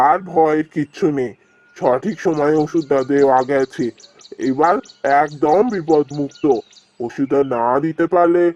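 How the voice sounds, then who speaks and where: An earnest village healer from a cartoon fairy tale, voiced by a man. His voice sits somewhat high for a man, and he speaks with authority. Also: a little mellow, highs cut off, close to an everyday voice.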